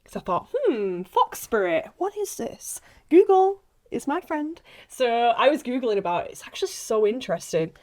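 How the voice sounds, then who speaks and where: A woman with a high, very lively voice in a casual podcast chat. She is excitable and giggly, and her sentences ramble as she goes. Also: moderate pace. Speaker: actress interviewing a castmate on a behind-the-scenes podcast.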